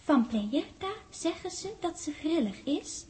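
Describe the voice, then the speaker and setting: A little ghost girl of ten in a 1960s radio drama. The voice sits high and soft-toned, with a mellow warmth. She coaxes and teases in a childlike way, ready to reproach. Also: animated, measured pace. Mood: playful. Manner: childlike, teasing, coaxing. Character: ghostly ten-year-old girl, coaxing, playful, reproachful.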